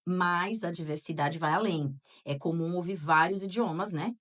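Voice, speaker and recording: This is a Brazilian woman co-hosting a podcast, her voice sitting somewhat low for a woman. She talks in a loose, chatty way and keeps tossing in little tag questions as she reads listener answers. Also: normal speed. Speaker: podcast co-host. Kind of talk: casual podcast chat.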